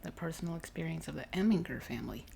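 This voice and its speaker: A woman reading a story aloud ASMR-style, soft and unhurried, partly whispered. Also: low-pitched, animated, vocal fry. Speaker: ASMR reader. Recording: faint noise behind the voice.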